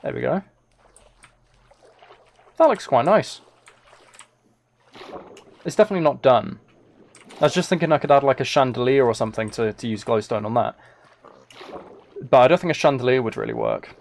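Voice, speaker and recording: Male game streamer, mid-pitched with noticeable fry, musing aloud in loose, casual chat. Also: very animated, normal speed, pauses often. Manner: rambling, musing to himself while playing. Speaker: live streamer. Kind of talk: casual gaming livestream chat, thinking aloud.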